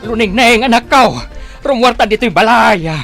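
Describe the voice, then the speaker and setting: A man's voice: extremely high pitch, lively intonation, normal speed, gravelly, a bit bright, soft background music, heavily acted. A man playing a worried, protective village father and farmer in a radio drama. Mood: alarmed, urgent. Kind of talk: radio drama acting in Ilocano.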